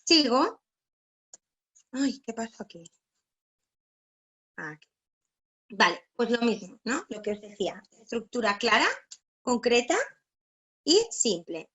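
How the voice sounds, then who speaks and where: A woman's voice: a little high, animated, unhurried, a bit bright. A woman from Barcelona with a peninsular Spanish accent, presenting an informal online writing workshop as a philologist, explaining things and often checking for agreement with little tag questions. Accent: Spanish from Spain. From Barcelona, Spain.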